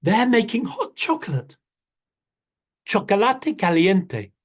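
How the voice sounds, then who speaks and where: Small sly rabbit, very high and squeaky, fast pleading chatter, put on by a storyteller. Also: sing-song. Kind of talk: bilingual children's storytelling.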